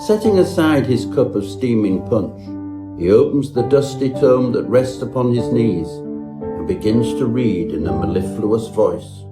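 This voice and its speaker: A man's voice: medium pitch, lively intonation, a little slow, loud music behind the voice, poor audio. A man narrating a parody Victorian ghost story in a pompous, mock-genteel manner.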